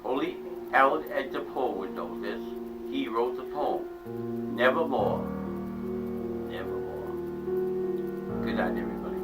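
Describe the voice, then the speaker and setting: A man giving a theatrical poetry reading, swinging between loud declaiming and hushed low tones. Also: lively intonation, a little slow, pauses often. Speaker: channel host reading a poem. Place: small home room set up as a paranormal museum. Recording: distant mic with faint music behind.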